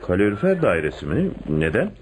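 An actor playing a shrewd, domineering police inspector in a radio play, questioning people insistently. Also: male voice, lowish voice, wildly swooping pitch, a little fast, noisy background. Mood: impatient, questioning. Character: shrewd, domineering male police inspector interrogating and giving orders. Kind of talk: radio theatre acting.

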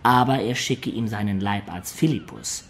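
An actor playing a wistful adventurer who recounts his life in a German radio play, in a measured, expressive storyteller's manner. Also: fairly low pitch, a little hiss or hum, lightly acted. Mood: narrating. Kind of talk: dramatic first-person narration in German radio play.

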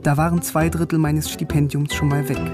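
An actor playing a bookish young East German philosophy student in a radio drama, narrating in a wry, literate way. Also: male voice, fairly high pitch, little pitch movement, brisk, over music, lightly acted. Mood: wry, reflective. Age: young adult. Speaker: narrator and lead.

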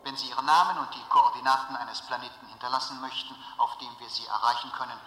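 A man's voice: mid-range voice, steady tone, fast talker, pushed and bright, close to an everyday voice. A man playing an ancient taped announcement in a radio drama, speaking in excessively courteous, formal officialese. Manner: formal, unctuously polite officialese. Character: ancient recorded planetary answering announcement, excessively courteous and bureaucratic. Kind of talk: audio drama acting as taped public announcement. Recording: distant, echoing hall sound with noise.

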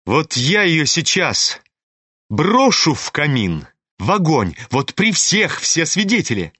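Man reading as a proud reckless young woman, bossy and mocking, slow, dry close sound. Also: fairly high pitch, sing-song, pushed and bright, husky, dry recording, full character voice. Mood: taunting, commanding. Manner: imperious, taunting. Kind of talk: audiobook reading.

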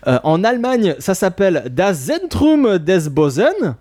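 A man's voice: high voice, very animated, a little slow, dark tone. A man from France hosting a casual podcast chat, hesitant with many fillers and restarts. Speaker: podcast host.

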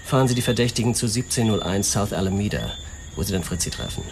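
An actor playing a brisk, commanding senior police official in a radio drama, authoritative and deliberate. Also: male voice, lowish voice, expressive, background noise.

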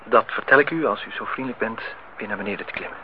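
A man's voice: on the low side, fast, slight background noise, close to an everyday voice. An actor playing a middle-aged, well-off man in a radio play, nostalgic and dryly teasing in formal speech. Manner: formal address, dry teasing. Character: older well-off man, nostalgic, needling, courting host. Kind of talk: Dutch radio play acting. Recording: muffled, phone-like old radio recording.

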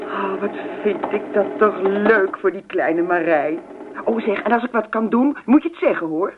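A woman's voice: medium pitch, normal speed, natural voice. A woman playing a curious, effusive middle-aged neighbour in a radio play. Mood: enthusiastic. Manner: gossipy, effusive. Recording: noisy, dull old radio recording.

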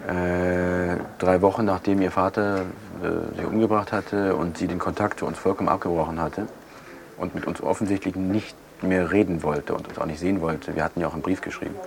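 A man playing a bereaved brother, giving halting, matter-of-fact testimony in a naturalistic audio drama. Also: deep voice, fairly even intonation, creaky. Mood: halting, sombre. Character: bereaved brother recounting finding his murdered sister. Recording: noisy room ambience.

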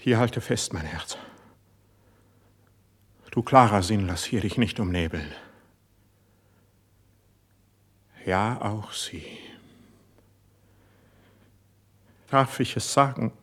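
An actor playing an impassioned, suspicious young court poet in a classical verse radio drama, with a husky, declamatory delivery. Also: male voice, lowish voice, animated, unhurried, long pauses. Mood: anguished, brooding. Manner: declamatory, intense stage delivery.

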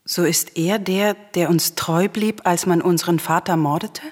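A woman's voice: fairly low pitch, moderate pace, darkish tone, close to an everyday voice. An actress playing a fervent, vengeful daughter of a murdered king in a classical radio tragedy, solemn and declamatory. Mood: questioning.

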